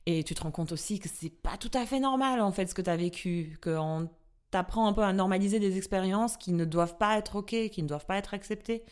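A woman's voice: medium pitch, fairly quick, a little mellow, clean tone. A woman who is not a native French speaker, co-hosting a podcast in a casual, earnest chat, laughing easily and rephrasing as she goes. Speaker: podcast co-host. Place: studio in a Swiss city.

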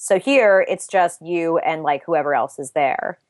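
A young woman speaks with a soft, soothing voice that sits a little low for a woman. She talks in a thoughtful, self-searching way, circling back and restarting her phrases. The sound is dry and close to the mic. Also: unhurried, dry recording. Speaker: interview guest, writer and voice actor. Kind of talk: casual interview chat.

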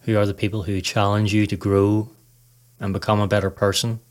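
Low, even-toned male meditation guide. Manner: calm, measured, instructional. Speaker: podcast host, meditation guide. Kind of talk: scripted guided meditation narration.

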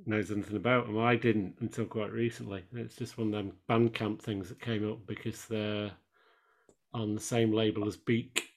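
A man co-hosting a podcast, speaking in a relaxed, casual way. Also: fairly low pitch, moderate pace. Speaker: podcast co-host. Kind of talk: casual chat.

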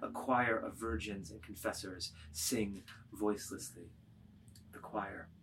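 A man's voice: lowish voice, steady tone, takes it slowly, fairly bright tone, slight background noise, low-quality recording. A man reading scripted literary narration expressively, with rhythmic, emphatic delivery of lists of words.

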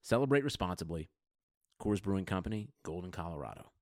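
A man's voice: deep voice, expressive, fast, no echo, clean studio sound. A man reading a scripted advertisement as an announcer. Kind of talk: scripted ad read.

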